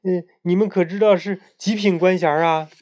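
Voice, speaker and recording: A man voicing a brash, boastful monkey king who flares up quickly, in a told storybook tale. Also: sits high, sing-song, voice pushed up, exaggerated voice. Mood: cocky. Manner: loud, boastful, quick-tempered.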